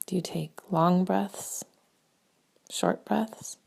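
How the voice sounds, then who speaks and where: A woman guides a meditation in a lowish, relaxed voice with a creaky edge. Her phrases come gently with long pauses between them, soft and breathy, often sinking almost to a whisper.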